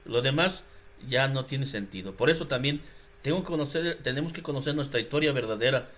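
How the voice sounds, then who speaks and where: A Mexican man muses aloud in a relaxed, personal way, his voice sitting at an ordinary middle pitch. He drifts from thought to thought, stopping to restart his sentences and filling pauses as he goes. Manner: rambling, halting, with many restarts and "este" fillers. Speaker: reaction video host. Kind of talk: casual reflective monologue.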